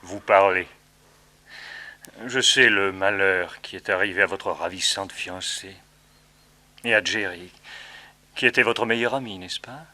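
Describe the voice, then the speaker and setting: A sinister scar-faced villain of an old radio serial. His voice is low and crisply bright, with measured, mock-polite phrasing and calm menace. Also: heavily acted. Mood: conspiratorial.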